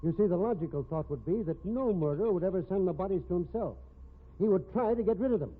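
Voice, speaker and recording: A man's voice: high voice, lively intonation, quick pace, breathy edge. An actor playing a cold, haughty businessman murderer in a 1950s radio drama, speaking formally and precisely to justify himself. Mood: coolly confessing. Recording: tinny band-limited old radio recording, hissy.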